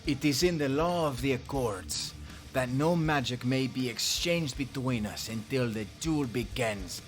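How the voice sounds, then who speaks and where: A man playing a pompous, formal heroic magician in an audio roleplay, ceremonious and quick to take offence. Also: mid-range voice, faint music behind, close to an everyday voice. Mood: stern formal.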